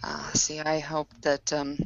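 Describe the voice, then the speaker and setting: A woman with a fairly low voice talks in character during a tabletop game. Her phrasing is informal and somewhat hesitant. The sound is dry and slightly dull. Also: completely flat delivery, measured pace, vocal fry, no room sound. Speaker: tabletop roleplaying player. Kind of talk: casual in-character tabletop roleplay. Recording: dull lo-fi recording.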